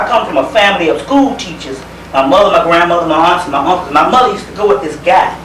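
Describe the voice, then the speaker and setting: A man from South Carolina with a Southern US accent, giving an informal lecture in a folksy, conversational manner with pauses and repeated phrases. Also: high-pitched, sharp tone. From South Carolina, United States. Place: indoor lecture gathering. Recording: roomy, distant microphone, faint background noise.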